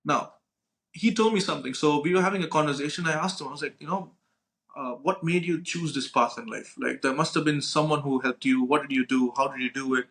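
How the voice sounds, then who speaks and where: Fast-talking young man telling stories. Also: on the high side, gravelly, a bit bright. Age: young adult. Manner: informal, slangy, acts out dialogue, frequent "right?" tags. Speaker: podcast co-host. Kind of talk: casual anecdotal storytelling with profanity.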